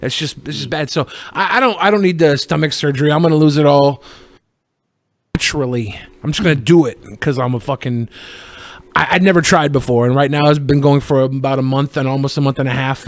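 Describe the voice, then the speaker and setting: A man hosting a podcast in casual, profane chat, rambling and joking. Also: mid-range voice. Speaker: podcast host.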